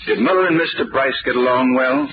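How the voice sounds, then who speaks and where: An actor playing a composed, knowing, courteous sleuth in 1940s radio drama, with a measured, theatrical delivery. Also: male voice, mid-range voice, lightly acted. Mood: courteous, probing. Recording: dull, noisy 1940s radio recording.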